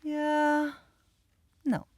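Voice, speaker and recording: A woman's voice: high-pitched, monotone, extremely slow, a little mellow, no room sound, faint music behind, pitched above the performer's own voice. A woman voicing an aloof, teasing young vampire girl in an ASMR roleplay, with a cool, sardonic, dismissive manner.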